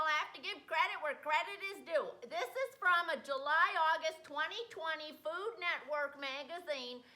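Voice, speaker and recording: A woman's voice: sits high, sharp tone, echoey room. A chatty, folksy woman from Wisconsin, hosting a comedic home cooking demonstration in her kitchen. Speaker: host.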